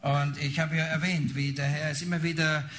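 He is a man interpreting a sermon live, one phrase at a time. His voice is bright and forceful and sits a little high for a man. He often hesitates while searching for words, and there is noise in the background. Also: level tone, fairly quick.

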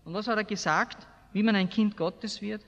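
An Austrian-accented man preaches in a high voice that rings through a reverberant hall. His delivery is blunt and emphatic, in everyday colloquial speech. He often puts rhetorical questions to his listeners. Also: normal speed, fairly bright tone, highs cut off. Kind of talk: colloquial evangelical sermon. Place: large hall, preaching to a seated congregation. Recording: echoey hall with long reverb.

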